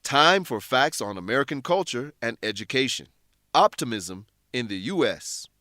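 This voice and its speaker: A man narrating a scripted English lesson in a deliberate, instructional manner. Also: medium pitch, animated, bright, forceful tone, no room sound, studio quality.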